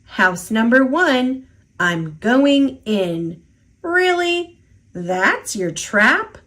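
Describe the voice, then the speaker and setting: A cocky little two-hundred-year-old leprechaun man from a picture book, acted out by a woman. The voice is fairly high and swoops playfully, taking its time over each teasing, sing-song rhyme. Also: sing-song, extremely slow, a bit bright, exaggerated voice. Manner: playful, taunting, sing-song rhyming delivery.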